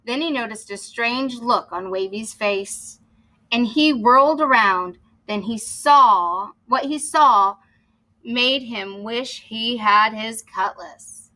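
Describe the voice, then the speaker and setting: Female storyteller for kindergarten read-aloud: expressive, explanatory, slow-paced, bright and slightly high. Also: low-quality recording. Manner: explains to young listeners as she reads. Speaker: teacher reading a picture book aloud.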